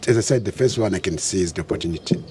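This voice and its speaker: A man whose first language is French, answering interview questions in a measured way and often clearing his throat. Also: low-pitched. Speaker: guest. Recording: faint background noise.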